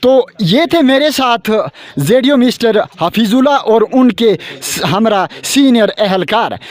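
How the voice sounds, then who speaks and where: A man's voice: sits high, no room sound. A man working as a news reporter, asking insistent, repeated questions. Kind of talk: phone interview, pressing questions and reporting.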